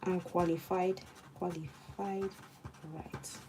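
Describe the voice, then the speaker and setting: A woman's voice: lowish voice, little pitch movement, slow, noisy background. A young woman from Nigeria with a Nigerian accent, a law student murmuring her notes aloud and chatting with viewers on a casual study livestream. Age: young adult.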